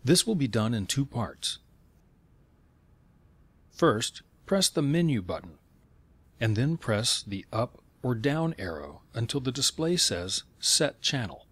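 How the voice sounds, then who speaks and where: A man narrating scripted instructions in clear, step-by-step phrasing. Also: mid-range voice, big pitch swings, takes it slowly, fairly bright tone, dry recording.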